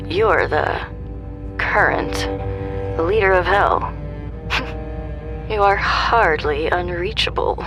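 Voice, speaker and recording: An actress playing a taunting, menacing female villain, with drawn-out phrases that sink into breathy near-whispers in an audio drama. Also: on the low side, animated, over music, heavily acted, low-quality recording. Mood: mocking, sinister.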